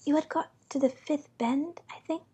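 A woman voicing a polite, eager young girl in a storybook, earnest and quick to plead. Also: high voice, dark tone, pitched above the performer's own voice, close to an everyday voice. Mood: pleading, apologetic. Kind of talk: storybook character voice.

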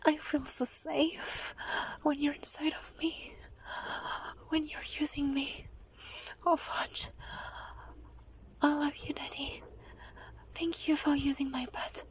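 A young adult woman performing a solo audio roleplay in a soft, breathy, pleading manner, often dropping into a whisper. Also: sits high, little pitch movement. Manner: slow, drawn-out delivery with frequent whispering.

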